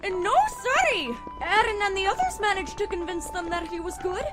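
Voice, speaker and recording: Eager young female soldier, extremely high, bouncy intonation, audio drama, loud music. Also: animated, a little fast.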